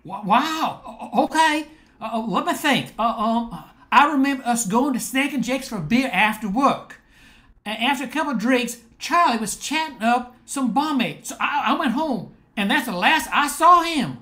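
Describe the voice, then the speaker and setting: A man's voice: very high voice, lively intonation, bright, forceful tone, heavily acted. An older actor playing a nervous, stammering man in an amateur radio drama. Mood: nervous, hesitant. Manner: halting, stammering. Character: George, nervous stammering male co-worker and friend.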